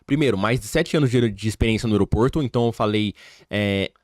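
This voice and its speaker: A man's voice: lowish voice, quick pace, no echo. Brief: Brazilian male podcast host, emphatic and chatty, speaks straight to viewers, close dry sound. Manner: animated, emphatic, addresses the audience directly. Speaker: podcast host and English teacher. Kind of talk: casual interview chat. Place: podcast studio.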